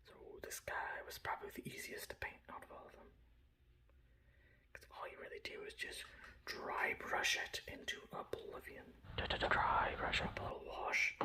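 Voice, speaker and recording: Whispering man, casual ASMR show-and-tell. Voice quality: breathy whisper with soft low speech. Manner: casual, rambling, conversational. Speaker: hobbyist miniature painter presenting his collection.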